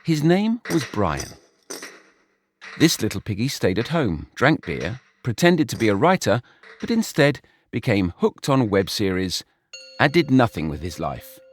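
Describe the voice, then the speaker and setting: Man reading a funny pig story, deadpan but voice goes all over the place. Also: measured pace, a little hiss or hum. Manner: wry, dry comic storytelling with wide swings in pitch. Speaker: narrator. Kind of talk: storybook narration.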